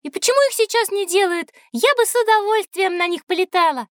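A woman's voice: extremely high pitch, quick pace, dry recording, clean studio sound. An actress playing a wide-eyed, innocent little girl in an educational audio drama, with a childlike, wondering manner.

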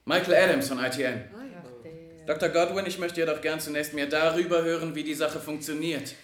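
An actor as a male news reporter in a radio play, with a fairly high voice in an echoey space. He asks his questions politely and formally. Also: steady tone, a little fast, a bit bright, lightly acted. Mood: polite, inquiring. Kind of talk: German radio drama acting. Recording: echoey room sound.

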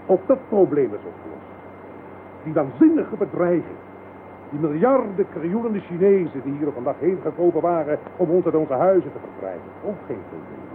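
An actor playing a megalomaniac older army general in a radio drama, making pompous speeches with dramatic pauses. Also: male voice, on the high side, very animated. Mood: triumphant, fierce, then grave, self-important. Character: megalomaniac older army general, coldly exultant about nuclear war. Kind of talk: Dutch radio drama acting. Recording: noisy, tinny narrow-band radio play recording.

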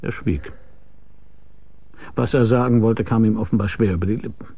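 A man's voice: fairly low pitch, normal speed, warm tone, breathy edge. An actor narrating as a laconic detective, telling his own case with dry wit in a radio drama. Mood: matter-of-fact. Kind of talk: scripted first-person narration in radio drama.

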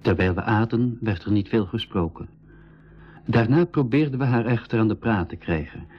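A man playing the narrator of a school radio play, telling of his travels in measured storytelling. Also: on the low side, husky. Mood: reflective. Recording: dull lo-fi 1980s radio recording.

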